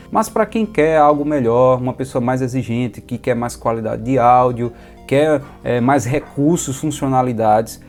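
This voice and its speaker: A man's voice: medium pitch, a little mellow. A man with a Brazilian Portuguese accent giving an informal, organized product review in a conversational, explanatory way. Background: background music.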